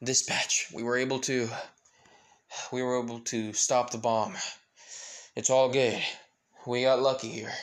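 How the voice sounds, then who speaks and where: A man voicing an urgent police officer in a story, with a hurried, stumbling delivery. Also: medium pitch, level tone, natural voice. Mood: relieved. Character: urgent male police officer, alarmed and commanding.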